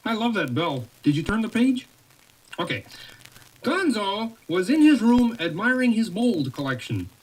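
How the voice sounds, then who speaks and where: A woman's voice: medium pitch, big pitch swings, unhurried, breathy edge. A woman reading a children's storybook aloud in a playful way, with chatty asides to the child turning the pages. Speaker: storybook reader. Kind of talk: read-along story narration with casual asides. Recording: noisy home recording.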